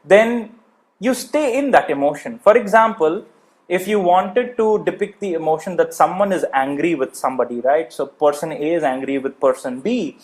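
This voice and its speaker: A man's voice: on the high side, normal speed. A man coaching public speaking in an instructional lecture, explaining points emphatically and checking in with the listener.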